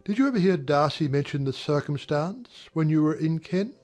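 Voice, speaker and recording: A woman voicing a smooth-talking, self-pitying young gentleman in a dramatized audiobook, wistful and mannered. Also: low voice, expressive, normal speed, faint music behind, pitched below the performer's own voice. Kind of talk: dramatized audiobook character acting.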